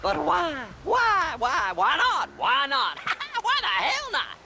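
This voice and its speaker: An actor voicing an unhinged, giddy Western eccentric with a rural American drawl in a video game. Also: male voice, very high voice, huge pitch swings, slow, gravelly, heavy background noise, heavily acted. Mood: manic, scornful. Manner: theatrical, drawling, wildly swooping delivery. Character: unhinged, scrawny male grave-robbing eccentric, giddy and erratic. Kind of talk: video game cutscene acting.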